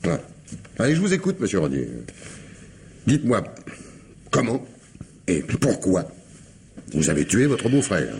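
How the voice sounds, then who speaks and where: An actor playing a shrewd, sardonic police commissioner in a radio crime drama, questioning with wry insistence and theatrical hesitations. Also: male voice, lowish voice, sing-song, a little slow, gravelly, long pauses, darkish tone, slight background noise, exaggerated voice. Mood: brisk authority.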